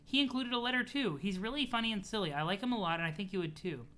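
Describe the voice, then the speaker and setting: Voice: medium pitch, quick pace, fairly bright tone, voice pushed up, natural voice. A streamer voicing an earnest, cheerful young girl who is chatty and sweet as she reads out a letter. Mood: eager, affectionate.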